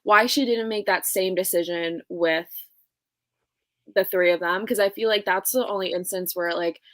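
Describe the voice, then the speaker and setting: A woman co-hosting a casual book chat, talking informally and stating her opinions in a halting way. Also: fairly low pitch, fairly even intonation, no echo. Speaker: co-host of a book readalong live show.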